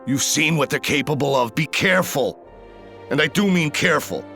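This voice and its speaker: Anxious game tech operator, high-pitched, gravelly, urgent, worried. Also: male voice, lively intonation, moderate pace, pushed and bright. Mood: concerned instructing. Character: anxious male tech operator aiding a soldier by radio. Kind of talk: video game cutscene acting. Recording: music behind the voice. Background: music.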